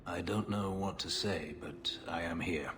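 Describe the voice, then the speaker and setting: An actor playing a brooding man in a video game, offering awkward, quiet sympathy in a deep hushed voice. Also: unhurried, background noise. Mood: subdued sympathy. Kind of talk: dramatic video game acting.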